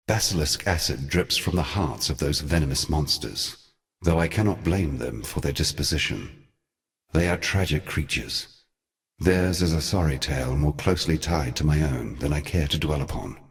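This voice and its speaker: Ancient male god, rock-bottom bass pitch, solemn, world-weary, formal archaic diction, theatrical fantasy game dialogue. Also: heavily acted. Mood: weary regret.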